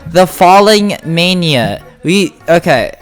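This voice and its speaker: A casual gameplay guide host giving tutorial commentary in an informal, halting way, thinking aloud. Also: mid-range voice, lively intonation. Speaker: game guide video host. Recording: music behind the voice. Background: music.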